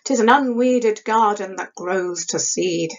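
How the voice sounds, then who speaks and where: A woman's voice: medium pitch, unhurried. A woman voicing a young male actor declaiming an anguished Hamlet theatrically in an audiobook. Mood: anguished, declamatory. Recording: dull lo-fi webcam sound.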